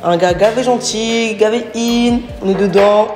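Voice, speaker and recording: A woman's voice: on the high side, a little slow, a little mellow. Woman, interview host, upbeat, slangy, short questions, echoey hall, music behind. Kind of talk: casual interview chat.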